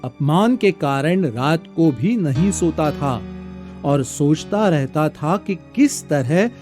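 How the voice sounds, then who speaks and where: A man's voice: a little high, expressive, slow. A man narrating a scripted moral tale in a formal, carefully enunciated storytelling style. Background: soft background music.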